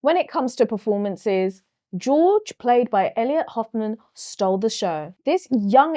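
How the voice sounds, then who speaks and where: Cockney woman doing a solo film review vlog, slightly high voice, says what she thinks. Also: mellow. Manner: frank and opinionated, with occasional fillers. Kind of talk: solo movie review to camera.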